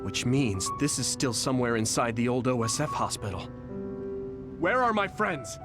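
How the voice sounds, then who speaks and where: A man's voice: mid-range voice, expressive, normal speed, over music, natural voice. An actor playing an earnest, bewildered young male soldier hero in a video game, polite and searching. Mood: dazed, confused, then alarmed. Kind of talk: scripted video game cutscene acting.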